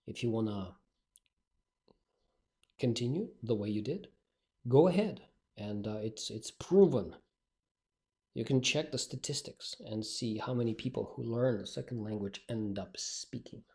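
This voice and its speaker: A man's voice: fairly low pitch, a little mellow. A male speaking coach, not a native English speaker, talking bluntly and directly in a solo podcast monologue.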